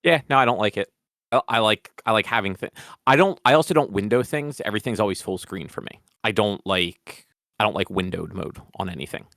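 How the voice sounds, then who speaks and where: Podcast host guy, low-ish voice, dry close sound, teasing and opinionated. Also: brisk, fairly bright tone, no room sound. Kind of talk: casual chat.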